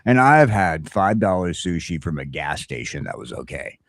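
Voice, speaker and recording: A man's voice: sits low, big pitch swings, moderate pace, no echo. A middle-aged American man hosting a casual, comedic morning chat show, telling rambling stories with crude humor. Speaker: talk show host.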